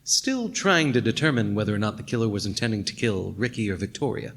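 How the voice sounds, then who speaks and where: Low-voiced, world-weary noir detective. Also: male voice, a little fast, exaggerated voice. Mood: businesslike. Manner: dry, world-weary, deadpan. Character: hard-boiled, wry male detective narrating a noir case. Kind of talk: noir radio play narration and dialogue. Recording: music behind the voice.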